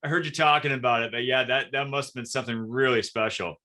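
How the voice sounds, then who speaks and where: A man's voice: mid-range voice, fast, sharp tone. A man hosting a podcast interview in a casual, supportive and attentive way.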